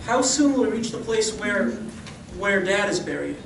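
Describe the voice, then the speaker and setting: Man playing an eager, high-voiced teenage boy. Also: big pitch swings, moderate pace, gravelly, exaggerated voice. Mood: eager, respectful. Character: eager, respectful teenage boy, nephew of a hero. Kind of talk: old-time radio western acting. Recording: distant echoey live stage recording, faint noise.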